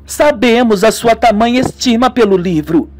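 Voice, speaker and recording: A man's voice: very high-pitched, moderate pace, darkish tone, dry recording, pitched above the performer's own voice. A man voicing an eager, scheming young woman in a radio melodrama, with an emphatic, coaxing manner.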